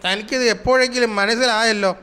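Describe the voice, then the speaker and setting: A very high, bright cartoon voice for a crafty jungle animal. He grumbles and plots in an animated, exasperated way, acting out schemes like a comic storyteller. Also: fairly quick, smooth. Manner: animated, exasperated, explains schemes with sound words. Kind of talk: Malayalam children's cartoon comedy acting.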